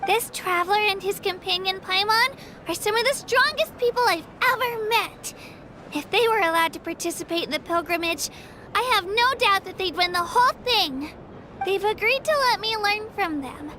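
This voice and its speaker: A woman's voice: very high-pitched, expressive, normal speed, slight background noise, heavily acted. An actress playing a modest, earnest young girl warrior in a video game, speaking politely and sincerely. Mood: grateful, earnest. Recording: loud music behind the voice.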